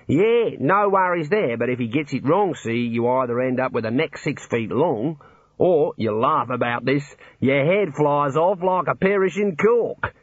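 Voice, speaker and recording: A man's voice: on the high side, expressive, measured pace, no echo, lo-fi sound, pitched above the performer's own voice. Man putting on a jovial warder. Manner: breezy, matter-of-fact about grim things. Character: cheerful older male prison warder, jovial, chatty.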